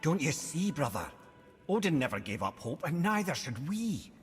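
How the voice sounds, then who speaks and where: An actor playing a wry, learned old advisor in a video game, with a Scottish accent and a wordy, musing, theatrical way of talking. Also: male voice, a little high, sing-song, normal speed. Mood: delighted, hopeful. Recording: long reverb, music underneath. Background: music.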